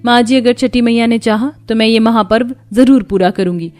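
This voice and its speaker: A woman voicing a gentle, devout young wife in a cartoon story, speaking earnestly and pleadingly. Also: a little high, moderate pace, dry recording, lightly acted. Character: poor devout young wife, kind and patient.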